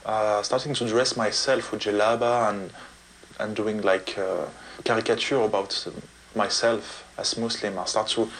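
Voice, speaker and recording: A French man with a French accent, giving unscripted interview answers in halting non-native English. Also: on the low side, fairly bright tone, slight background noise, poor audio. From France. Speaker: street artist, interviewee. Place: artist's studio in Paris suburbs.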